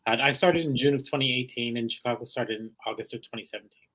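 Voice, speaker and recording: A man in his forties answering interview questions over a video call, pausing with fillers. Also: mid-range voice, a bit bright, poor audio. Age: 40s. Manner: hesitant, many filler pauses. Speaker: guest, chef and donut shop owner.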